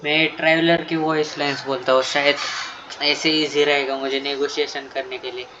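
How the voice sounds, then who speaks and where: A man's voice: on the high side, level tone, very fast, highs cut off. A man with an Indian accent giving casual commentary as he plays a video game. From Maharashtra, India. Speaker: gaming streamer. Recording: clear background noise and clicks.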